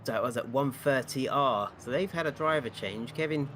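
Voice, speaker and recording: A man's voice: mid-range voice, a bit bright, slight background noise. A man leading live sim racing commentary, playful and teasing with his co-hosts while calling the action. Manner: playful, teasing, quick race calls. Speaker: lead commentator.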